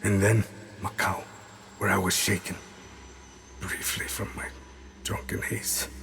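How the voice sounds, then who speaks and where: A low, gravelly, haunted exiled assassin. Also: male voice, flat delivery, a bit bright, a little hiss or hum. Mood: weary, brooding. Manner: somber, measured, haunted, trailing into breathy murmurs. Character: haunted exiled male assassin, weary, brooding, quietly resolute. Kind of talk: brooding scripted narration, like a confessional journal.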